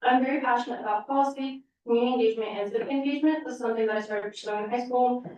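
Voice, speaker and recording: A woman's voice: fairly high pitch, steady tone, very fast, warm tone, lo-fi sound. A young woman from San Diego, a student candidate giving an earnest prepared interview answer. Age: young adult. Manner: earnest, eager. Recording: echoey room, distant mic.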